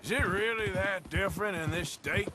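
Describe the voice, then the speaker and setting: A man's voice: sits high, normal speed, background noise, lightly acted. An actor playing a cautious, dry-humored male outlaw in a game cutscene, with a Western drawl. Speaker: game character. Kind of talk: cutscene voice acting. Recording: game audio with music under it.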